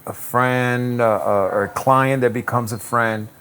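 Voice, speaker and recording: A man, a real estate broker answering interview questions in a relaxed, reflective way with many restarts. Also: medium pitch, unhurried, clear, resonant tone. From Puerto Rico. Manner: easygoing, reflective, frequent restarts and "um".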